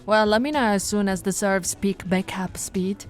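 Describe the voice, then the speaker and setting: A woman voicing a flirty, no-nonsense female police officer in an ASMR roleplay, switching between firm orders and soft, teasing coaxing. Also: medium pitch, fairly even intonation, moderate pace, soft background music, natural voice. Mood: brisk. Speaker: voice actress.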